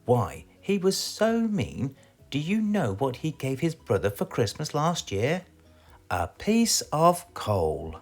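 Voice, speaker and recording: A man's voice: a little high, huge pitch swings, takes it slowly, faint music behind. A man with a British accent reading a children's story aloud as a storyteller.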